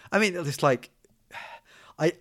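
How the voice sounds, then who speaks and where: A man's voice: a little high, slow pace, fairly bright tone. A man with a light accent mixing Scottish and southern English, chatting as a podcast guest and often restarting his sentences with fillers. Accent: mixed Scottish and southern English. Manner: hesitant, many restarts and fillers. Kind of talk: casual podcast conversation.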